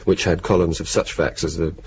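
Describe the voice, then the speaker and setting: An actor playing a wry, laconic, bookish young RAF officer in a radio play, speaking in a dry, understated, deliberate way. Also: male voice, sits low, steady tone, darkish tone, natural voice. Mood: wry narration. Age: young adult. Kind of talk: naturalistic 1980s radio drama acting. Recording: muffled, hissy old radio broadcast tape.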